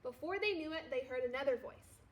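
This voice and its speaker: A woman, a primary school teacher, reading a children's storybook aloud in an expressive way. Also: high voice, a little fast, a little hiss or hum. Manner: expressive, acts out dialogue. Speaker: second-grade teacher.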